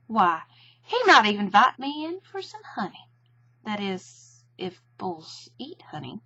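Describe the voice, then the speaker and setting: Gentle, dim, honey-loving boy bear played by a woman, husky, polite, lo-fi storybook sound. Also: mid-range voice, sing-song, unhurried, no room sound, exaggerated voice. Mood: earnest, hopeful.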